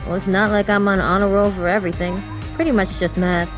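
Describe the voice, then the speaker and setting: A woman's voice: medium pitch, flat delivery, fairly quick, natural voice. Woman voicing a wry, easygoing teenage schoolgirl. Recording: music behind the voice.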